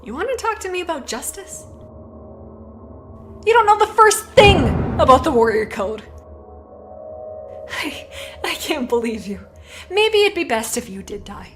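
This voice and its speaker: A woman plays a young she-cat warrior, righteous and betrayed. Her high voice is slow and cutting, heavy with disgust, in an animated drama with music underneath. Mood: outraged, disbelieving. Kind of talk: animated fan drama voice acting. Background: dramatic background music.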